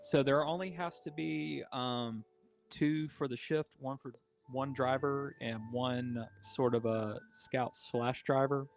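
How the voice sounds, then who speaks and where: A man acting as game master of a tabletop game, explaining things in a relaxed way with plenty of ums and uhs. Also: medium pitch, slow, creaky. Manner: relaxed, filler-heavy explaining.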